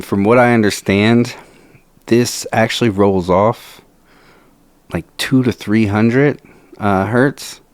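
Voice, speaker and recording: Slow, deep-voiced man demoing gear. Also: no echo. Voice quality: low voice. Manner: slow, measured and technical, with frequent filler sounds. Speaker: video host. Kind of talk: microphone demo talk. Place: home recording studio. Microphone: close to a studio microphone.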